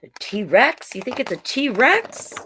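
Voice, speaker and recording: Woman, children's storytime host, slow pace, very lively intonation, playful, encouraging, talks straight to the kids. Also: fairly high pitch, a little hiss or hum. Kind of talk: animated picture-book read-aloud with chatty asides to young viewers.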